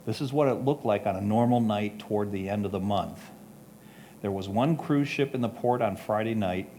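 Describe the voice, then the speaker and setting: A man's voice: on the low side, moderate pace, warm tone. A man reading prepared photo comments aloud at a photography club presentation. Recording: faint background noise.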